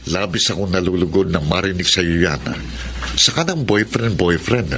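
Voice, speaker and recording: A man's voice: low-pitched, moderate pace, background noise, close to an everyday voice. An actor playing an emotional middle-aged father just freed from prison, in a Filipino radio drama. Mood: earnest, gentle.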